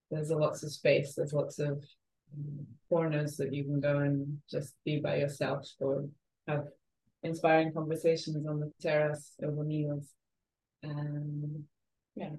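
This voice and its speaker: A woman's voice: sits low, completely flat delivery, a little slow, warm tone, poor audio. A woman from southern Portugal answering as a podcast guest in a reflective, hesitant, conversational way.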